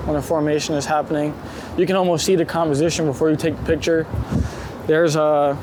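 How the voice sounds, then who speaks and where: A man talking casually and informally, with some swearing, as a street photographer being interviewed outdoors while walking. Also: high-pitched. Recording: loud outdoor city street noise. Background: traffic and urban street noise.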